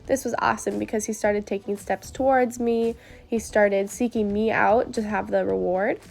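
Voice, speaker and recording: A young woman with a stuffed-up nose from a cold narrates a training vlog, earnest and upbeat. Her voice has a gravelly, fry-touched edge, and soft music plays underneath. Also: medium pitch, fairly even intonation, moderate pace. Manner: upbeat and earnest, explaining step by step. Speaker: horse trainer. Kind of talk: voice-over narration of training footage.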